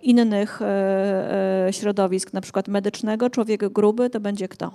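A woman in her thirties hosting a book interview on a bookshop stage, asking measured, polite questions into a handheld mic. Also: mid-range voice, steady tone, vocal fry, mellow. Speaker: interviewer host. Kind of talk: conversational interview. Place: bookshop cafe stage, indoors.

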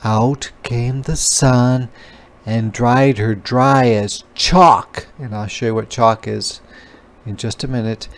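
A man reading a children's storybook aloud as a teacher, pausing to explain words. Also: medium pitch, fairly even intonation.

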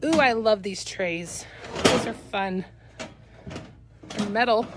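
A woman's voice: mid-range voice, slow, heavy background noise. A woman with a Midwestern accent giving an upbeat, chatty walkthrough of auction items for live viewers, filmed on a handheld phone in a cluttered warehouse. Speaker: auction company staff. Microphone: handheld phone camera.

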